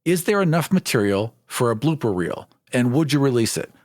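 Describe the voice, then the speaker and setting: A man's voice: medium pitch, sing-song, a little fast, no room sound. Emphatic male podcast co-host, dry sound. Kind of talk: casual podcast chat.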